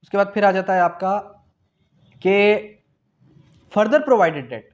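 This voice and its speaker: Male law lecturer, Pakistani accent, slightly high voice, didactic delivery built on self-answered questions and repetition. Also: animated, slow, highs cut off. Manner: repeats key phrases for emphasis; switches between Urdu and English terms. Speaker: law teacher. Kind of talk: exam revision lecture.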